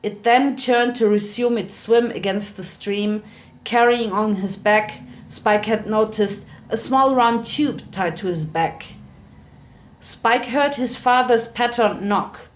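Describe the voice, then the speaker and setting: A woman's voice: medium pitch, takes it slowly, a little hiss or hum. A woman narrating fan fiction as a dramatic reading. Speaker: story narrator.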